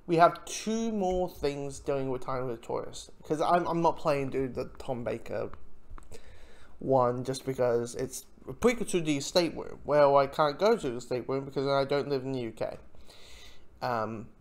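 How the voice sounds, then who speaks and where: A reviewer talking casually and off the cuff, in a hesitant, halting way with frequent false starts. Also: mid-range voice.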